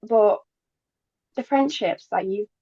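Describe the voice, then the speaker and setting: Podcast guest: young woman, Polish native speaker, casual and halting delivery, mid pitch, dry recording. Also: vocal fry, mellow, husky, no echo, dull audio. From Poland, moved to England at ten. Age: young adult. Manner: halting, occasional stumbles over words. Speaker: podcast guest, pageant queen. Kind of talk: casual interview chat.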